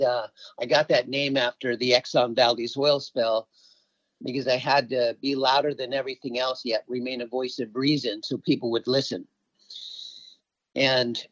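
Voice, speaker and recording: A man's voice: mid-range voice, no echo. A middle-aged man from Alaska giving conversational answers as an interview guest and environmental activist. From Alaska, United States. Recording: slightly dull, dry lo-fi audio.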